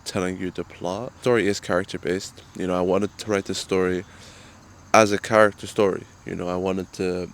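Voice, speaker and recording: Low, gravelly young man. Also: moderate pace. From Ireland. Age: 20s. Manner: relaxed, joking, chatty. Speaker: writer answering fan questions. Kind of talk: casual Q and A vlog.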